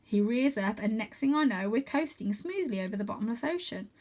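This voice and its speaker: A woman narrates a children's adventure story aloud. Her voice sits a little high, and she reads expressively, placing dramatic emphasis on the action. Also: lively intonation, brisk, a little mellow.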